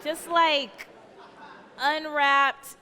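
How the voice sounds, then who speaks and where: A woman's voice: high-pitched, steady tone, extremely slow, sharp tone, a faint crowd. An American woman comedian telling a comic story on stage as a live radio play. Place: large theatre stage. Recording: echoey theatre hall, live stage noise.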